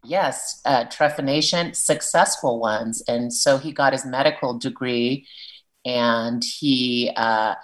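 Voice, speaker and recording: A woman's voice: a bass voice, takes it slowly, rough recording. A woman author talking earnestly about her children's book and reading from it aloud. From Peruvian family, living in Arizona.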